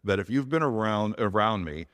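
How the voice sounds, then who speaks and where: A middle-aged man with a big, lowish voice gives a heartfelt personal testimony to his congregation. He speaks earnestly, restarting phrases as he goes, and the sound carries a long reverberant tail from the church hall. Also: animated, moderate pace, fairly bright tone. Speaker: church elder giving a testimony.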